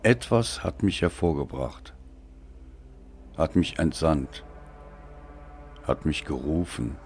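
Very deep, slow, brooding male narrator. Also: lively intonation, creaky, a little mellow, faint music behind. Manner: brooding, deliberate, theatrical. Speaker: audiobook narrator. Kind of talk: dramatic scripted narration.

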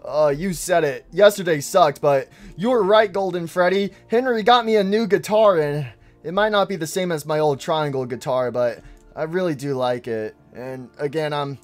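A man's voice: a little high, pitched below the performer's own voice, lightly acted. A man doing a stammering timid bunny. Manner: stammering, nervous. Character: timid, fretful male bunny plush guitarist.

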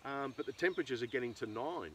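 Casting: middle-aged Australian male farmer, mid-pitched, rambling and informal, recorded at some distance outdoors with light natural noise. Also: lively intonation, fast, fairly bright tone. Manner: rambling, thinking aloud. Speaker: farmer and video host. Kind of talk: casual unscripted vlog talk. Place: outdoors in an open rural pasture.

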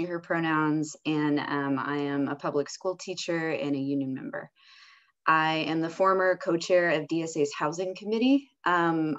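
A young woman, a teacher, giving a steady report over a video call from home. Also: low voice, lo-fi sound. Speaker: teacher and union member. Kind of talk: casual meeting report. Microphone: webcam video call.

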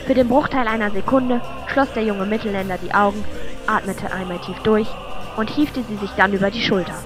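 A woman's voice: medium pitch, steady tone, fairly quick. A woman reading scripted fantasy narration as the storyteller of an audio drama. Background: clear music.

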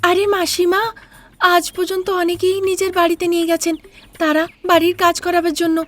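A woman's voice: very high-pitched, completely flat delivery, brisk, voice pushed up. A woman voicing an honest, earnest young daughter-in-law in a cartoon tale, plaintive and pleading. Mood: indignant. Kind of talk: cartoon fairy-tale character acting.

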